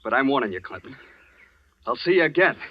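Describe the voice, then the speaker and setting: An actor playing a hot-tempered, threatening man with rough speech in an old-time radio mystery. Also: mid-range voice, expressive, quick pace, slight background noise, natural voice. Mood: menacing. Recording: muffled vintage radio recording.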